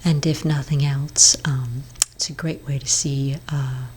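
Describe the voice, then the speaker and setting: A woman speaking in a breathy whisper for a soft-spoken ASMR roleplay, playing a gentle, hesitant therapist for witches. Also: extremely low pitch, fairly even intonation, slight background noise. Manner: gentle, hesitant, halting counsel.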